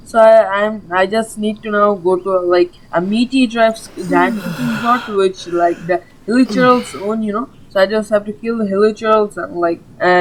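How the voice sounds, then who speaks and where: A woman's voice: medium pitch, a little hiss or hum, poor audio. A woman hosting a gaming video with casual, informal, rambling gameplay commentary, often saying "like". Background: game music.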